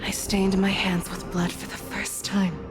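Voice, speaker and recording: A woman playing a resolute, battle-hardened warrior in a video game, speaking in a measured, solemn, restrained way. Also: mid-range voice, little pitch movement, natural voice. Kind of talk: dramatic video game acting and narration. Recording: game dialogue under loud music.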